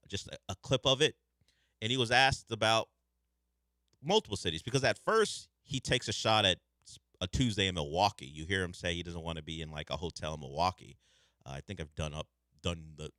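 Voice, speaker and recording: A man hosting a sports radio show, talking through the news in a conversational, measured way from a studio microphone. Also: low-pitched, sharp tone, dry recording. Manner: conversational, measured, thinking aloud.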